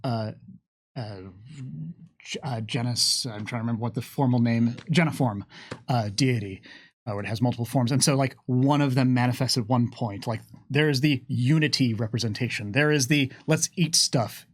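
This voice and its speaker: A man hosting a livestream Q&A in an unscripted discussion, sometimes halting as he searches for words. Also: mid-range voice, no echo. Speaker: host of a livestream Q&A.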